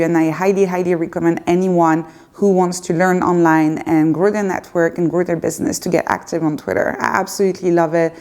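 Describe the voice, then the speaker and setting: French-accented woman, low, candid Q&A. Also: darkish tone. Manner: candid, reflective, with some hesitations. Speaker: YouTuber answering viewer questions. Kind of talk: unscripted casual Q&A. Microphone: facing a camera.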